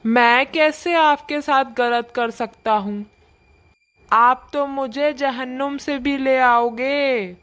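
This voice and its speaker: A woman voicing an obliging, nervous man, an earnest uncle figure in an animated horror story. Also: high-pitched, slow pace. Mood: placating.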